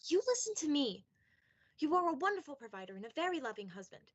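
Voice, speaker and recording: A woman's voice: high-pitched, big pitch swings, fast, lo-fi sound. A young woman playing a devoted, anxious young wife in a period radio play, with an earnest, dramatic delivery. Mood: loving, insistent. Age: young adult.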